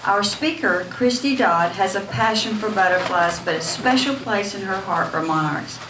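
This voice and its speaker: A woman's voice: mid-range voice, animated, normal speed. Woman host announcing in an echoey hall. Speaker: event host introducing the speaker. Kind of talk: scripted introduction. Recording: echoey hall, distant, background noise.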